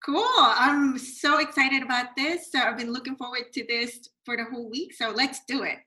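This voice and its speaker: A woman's voice: high-pitched, fairly even intonation, normal speed, pushed and bright. A woman in her thirties from the Philippines, a therapist chatting as a podcast guest, bubbly and laughing easily. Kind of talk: casual chat.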